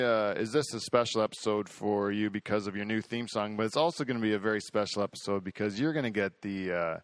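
A man hosting a podcast in casual chat, boastful and excitable, rambling with lots of restarts. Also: lowish voice, no room sound. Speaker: podcast host.

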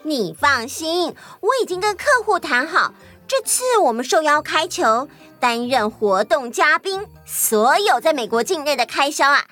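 A very high, bright voice of a woman playing a bubbly young assistant in a children's audio story. She is chatty, boastful and scatterbrained, with excitable, swooping delivery. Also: unhurried, faint music behind, heavily acted. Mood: gleeful.

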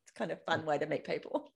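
A woman's voice: sits low, gravelly, a little mellow, husky. An Australian woman hosting a podcast, chatting casually and informally as an interviewer. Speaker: podcast host.

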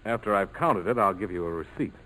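An actor playing a cool, sardonic pilot skipper with a terse, commanding delivery in a 1940s radio drama. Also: male voice, deep voice, quick pace, fairly bright tone. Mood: dry, wary. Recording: noisy old 1940s radio broadcast.

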